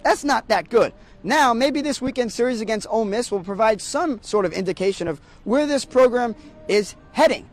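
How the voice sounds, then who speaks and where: A man's voice: very high voice, lively intonation, normal speed. A young man doing sports broadcast commentary as a play-by-play announcer and reporter. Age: young adult.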